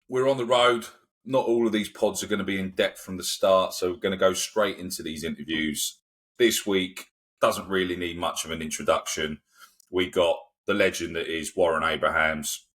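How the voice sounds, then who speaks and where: A man's voice: low-pitched, fairly bright tone, slightly rough. A man hosting a rugby podcast in an informal, chatty way with many fillers. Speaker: podcast host. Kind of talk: podcast interview.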